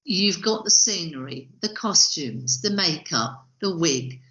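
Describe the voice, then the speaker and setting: A woman's voice: on the low side, lively intonation, takes it slowly, fairly bright tone. A woman hosting a podcast, asking questions in a conversational interview style. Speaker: podcast host. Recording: roomy, dull lo-fi audio.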